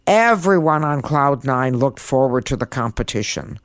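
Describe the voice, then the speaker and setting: A woman in her eighties speaks in a deliberate, emphatic manner, as if instructing her listeners. Her voice is captured close and dry, with no room around it. She presents like a seasoned podcast host. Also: very deep voice, expressive, dry recording. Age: 80s. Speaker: guest podcast host, comedian and author. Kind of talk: podcast monologue with writing tips and story reading.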